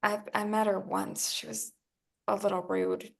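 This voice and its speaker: Female dancer under questioning, read by an actress: heavy vocal fry, guarded, conversational, indie film drama. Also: medium pitch, little pitch movement, unhurried. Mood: defensive. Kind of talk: horror film script table read.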